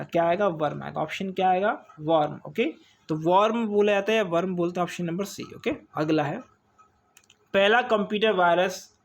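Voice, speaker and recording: A man teaching an online exam-prep quiz lesson, asking each question and repeating the answer, with frequent "okay". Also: high voice.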